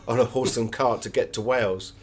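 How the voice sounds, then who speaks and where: A man's voice: medium pitch, steady tone, moderate pace, a little mellow. A man giving conversational, anecdotal answers as an author being interviewed.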